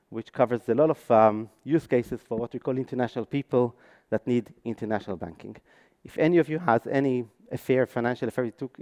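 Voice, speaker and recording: A man's voice: mid-range voice, warm tone. A man from Israel with an Israeli accent, speaking on stage at a conference with frequent ums and restarts. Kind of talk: conference talk.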